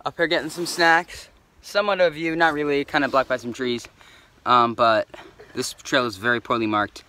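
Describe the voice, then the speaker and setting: A man's voice: medium pitch, steady tone, moderate pace, pushed and bright. A teenage boy narrating a casual vlog about his day and a hike, talking offhand with frequent ums, recorded on a phone at arm's length. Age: teens. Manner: chatty, offhand, lots of ums. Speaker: vlogger. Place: indoors at a cabin and outdoors on a forest hiking trail. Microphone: phone camera held at arm's length.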